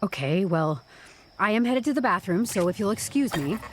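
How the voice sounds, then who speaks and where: A woman's voice: medium pitch, expressive, moderate pace, close to an everyday voice. A woman playing a wry, flustered office employee in an audio drama, informal and sarcastic. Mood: flustered sarcasm.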